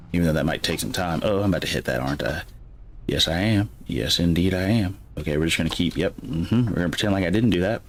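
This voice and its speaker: A man with a light Alabama accent, chatting casually and rambling to his chat while playing a game. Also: low voice. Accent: light Alabama Southern. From Alabama, USA. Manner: rambling, chatty, self-correcting, reads chat aloud. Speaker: gaming streamer.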